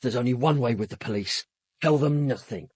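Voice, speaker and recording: Scornful, husky British radical man, acted. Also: mid-range voice, brisk, darkish tone, no room sound, natural voice. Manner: curt, contemptuous, emphatic. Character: domineering, scornful young male radical, secretive and menacing. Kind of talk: radio drama acting.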